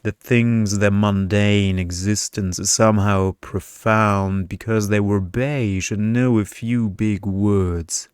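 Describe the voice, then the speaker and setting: A man's voice: fairly low pitch, steady tone, slow, few pauses, smooth, dry recording, clean studio sound. A man voicing a witty, defiant young woman with a mocking, confident manner in a story. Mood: amused.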